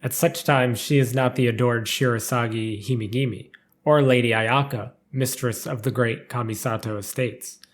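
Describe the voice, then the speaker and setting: A man's voice: mid-range voice, steady tone, normal speed. A man reading a scripted story aloud as narrator, with formal, careful delivery.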